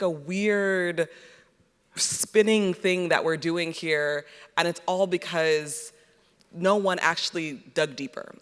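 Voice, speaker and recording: A man's voice: high voice, a bit bright. A man talking casually in a panel discussion. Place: large hall with audience. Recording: echoey hall, amplified panel.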